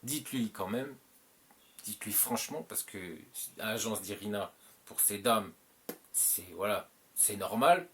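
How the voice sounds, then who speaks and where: A man's voice: fairly low pitch, expressive, measured pace. A French-speaking man hosting his channel, giving advice in a casual, rambling monologue full of fillers and repeats. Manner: rambling, repeats himself, many filler "euh"s.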